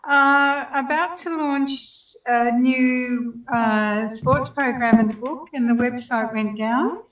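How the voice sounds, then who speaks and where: An older woman co-host talks hesitantly and wryly, pausing on ums. Her voice is a little high and sounds far from the mic in a roomy, dull recording. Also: a bit bright. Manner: hesitant, full of ums, wry. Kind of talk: casual chat. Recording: distant, roomy, dull call line.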